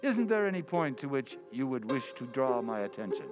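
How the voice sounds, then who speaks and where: A man's voice: mid-range voice, big pitch swings, a little fast, lightly acted. A man playing an earnest, puzzled police inspector in an old-time radio drama. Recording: dull lo-fi sound, music behind.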